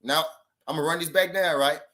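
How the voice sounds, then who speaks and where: A man's voice: a little high, steady tone, fairly quick, sharp tone. A man hosting a boxing channel live stream, talking loosely and profanely and repeating himself. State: says he is high. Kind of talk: casual live-stream talk.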